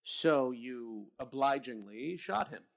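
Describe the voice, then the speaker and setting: A hard-nosed police captain in a radio horror play, his voice gravelly at the edges. He questions and demands in a brusque, doubting way. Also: mid-range voice, expressive, slow. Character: skeptical, blunt police captain. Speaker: voice actor. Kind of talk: old-time radio drama acting.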